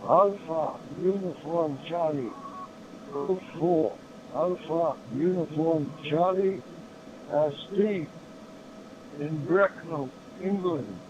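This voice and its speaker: Australian ham operator, man, high and slow, muffled radio signal. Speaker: radio amateur. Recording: muffled noisy radio audio.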